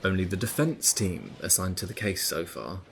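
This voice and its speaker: A man's voice: low voice, animated, moderate pace, gravelly. A man acting in an audio drama as a firm, resigned police investigator who explains things bluntly.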